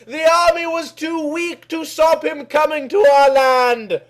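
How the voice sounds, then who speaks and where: A man's voice: extremely high pitch, steady tone, a little slow, pitched above the performer's own voice. A man voicing a brave, defiant young Roman warrior girl in a storybook tale, with exclamatory declarations. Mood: alarmed, urgent.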